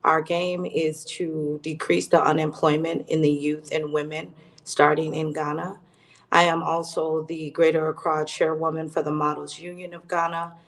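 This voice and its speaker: A woman's voice: deep voice, flat delivery, normal speed. A woman raised in the United States introducing herself on a business panel, listing her roles in an upbeat way. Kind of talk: panel discussion.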